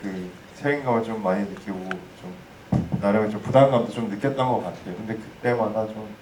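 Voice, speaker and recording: A man's voice: on the low side, fairly quick. A man moderating a post-screening audience talk, speaking casually and hesitantly with many restarts. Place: cinema hall. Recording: roomy, distant mic, faint noise.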